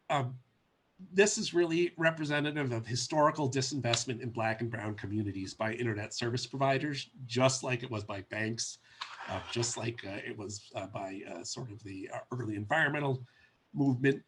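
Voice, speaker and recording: A man's voice: medium pitch, moderate pace, a bit bright, slight background noise. A man with a cold, sounding stuffy, giving a conversational webinar presentation with frequent ums and uhs. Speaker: policy presenter.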